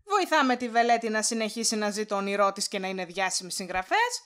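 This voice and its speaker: A woman's voice: mid-range voice, sing-song, a bit bright. A woman playing a vain, scheming boss in an audio drama, with a condescending, cutting manner. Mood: condescending, persuasive. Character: haughty scheming vain female boss, cold villain. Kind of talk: Greek audio drama acting.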